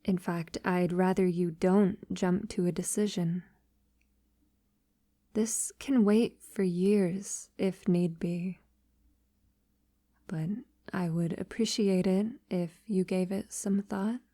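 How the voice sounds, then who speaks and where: A tender, long-lived female vampire acted by a woman speaks intimately to a lover in an audio roleplay. The voice is dark and soft, very slow, with hesitant pauses and breathy near-whispers. The sound is dry and close. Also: on the low side, fairly even intonation, no room sound. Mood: earnest, gentle.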